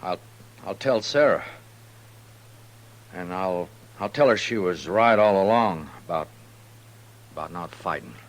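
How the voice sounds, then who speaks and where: A weary, proud homesteader talks low and terse in a rural American accent. He is brooding and rustic, in old-time radio western style over a slightly noisy, humming recording. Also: expressive, measured pace, pauses often. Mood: humbled, hesitant. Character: weary, proud male frontier settler, quick to anger.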